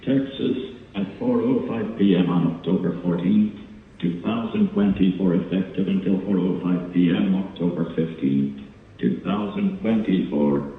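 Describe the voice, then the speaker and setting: Deep synthetic male emergency alert voice. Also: a little slow. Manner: flat, stilted synthetic delivery. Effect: synthetic text-to-speech voice. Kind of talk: automated emergency alert readout. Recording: phone-like narrowband, distant, echoing, faint noise.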